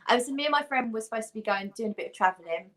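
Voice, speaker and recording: A woman's voice: on the high side, rapid-fire. A woman as a guest on a live chat, telling a long anecdote in a rambling, self-deprecating way.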